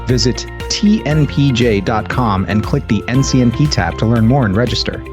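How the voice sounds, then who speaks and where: A man hosting a podcast, reading an ad script and introducing his guest in a smooth, announcer-like way. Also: medium pitch, normal speed. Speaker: podcast host. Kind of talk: scripted ad read and interview questions. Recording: music bed under the voice.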